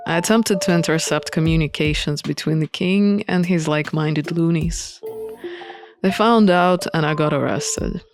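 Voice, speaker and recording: A woman's voice: low voice, moderate pace, voice pushed down, close to an everyday voice. A woman voicing a guarded, offhand exiled prince in a visual novel, speaking in a dry, blunt manner. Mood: dry, matter-of-fact. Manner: offhand, dry, matter-of-fact. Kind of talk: visual novel character reading. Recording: music under the voice.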